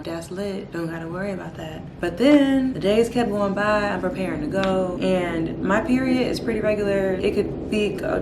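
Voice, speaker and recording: A young woman who works as a travel nurse, hoarse, telling a slangy, casual story straight to her viewers from home. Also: medium pitch, a little mellow, reverberant, a little hiss or hum, poor audio. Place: indoors at home.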